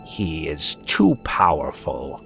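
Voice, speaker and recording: A man voicing a cold, scheming, self-righteous priest in a dramatized audiobook, slow and menacing. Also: a bass voice, gravelly, pitched below the performer's own voice, heavily acted. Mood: sinister. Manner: slow, drawn-out, hissing when quiet. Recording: music behind the voice.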